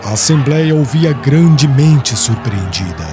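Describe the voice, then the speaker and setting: Guy reading an audiobook, solemn and dramatic, pitch moves a lot, clear music in the background. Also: expressive, unhurried, a crowd in the background. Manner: formal, dramatic. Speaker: audiobook narrator. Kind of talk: solemn scripted literary narration.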